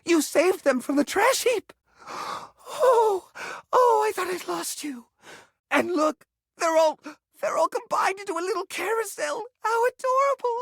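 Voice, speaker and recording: An actor voicing a fussy, anxious junk drawer come to life in a video game, theatrical and flustered, with old-fashioned folksy exclamations. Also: male voice, extremely high pitch, lively intonation, measured pace, vocal fry, a bit bright, no echo, studio quality, exaggerated voice. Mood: touched, delighted. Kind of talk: video game character voice acting.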